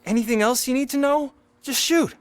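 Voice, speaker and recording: A man's voice: very high-pitched, fairly quick. A man playing a scared, loyal teenage petty thief in a video game, anxious and pleading. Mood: nervous, confiding.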